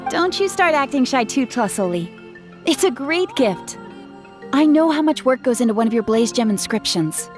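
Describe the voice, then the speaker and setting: Game VO: a cheerful, persuasive young adventurer, slightly high voice, teasing tone. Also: female voice, sing-song, normal speed. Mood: grateful. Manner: upbeat, teasing, playful salesy flair. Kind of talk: game cutscene dialogue. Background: game music.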